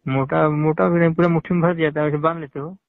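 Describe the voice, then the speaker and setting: A man's voice: on the high side, little pitch movement, fast talker, creaky, dry recording. Man on a tinny phone line. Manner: insistent, repeatedly questioning. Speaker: phone caller. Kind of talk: casual phone conversation.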